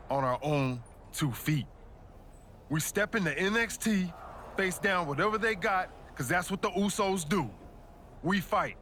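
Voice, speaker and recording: A proud, defiant pro wrestler in a game cutscene. His voice is fairly high, bright and forceful, slangy and emphatic, over faint background noise. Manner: slangy, emphatic motivational delivery. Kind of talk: video game cutscene dialogue.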